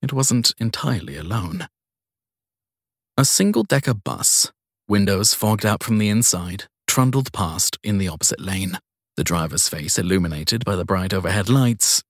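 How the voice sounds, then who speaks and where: A man's voice: sits low, fairly bright tone, no echo, studio quality. A man reading a crime story as a scripted audiobook narration.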